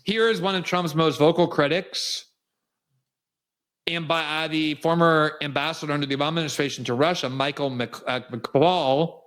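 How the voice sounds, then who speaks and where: A man's voice: on the high side, fairly even intonation, normal speed, fairly bright tone. A man from South Florida hosting a political commentary show, delivering an emphatic, indignant, cutting monologue. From South Florida, USA. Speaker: host.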